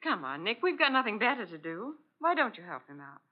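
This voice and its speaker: An actress playing a lively, curious, eager detective's assistant in 1940s radio style, inquisitive and expressive. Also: female voice, medium pitch, fairly quick, lightly acted. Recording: muffled old radio recording.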